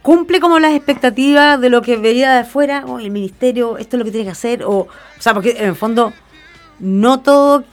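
A woman's voice: a little high, fairly quick. Chilean woman radio host over music. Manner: chatty, colloquial. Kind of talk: conversational radio interview.

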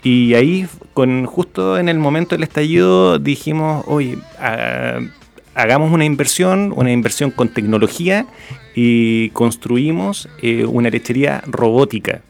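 A middle-aged man with a Chilean accent answering in a radio interview, speaking conversationally in a hesitant, halting way. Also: mid-range voice, vocal fry, faint music behind. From Puerto Varas, southern Chile. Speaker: interview guest, regional government official.

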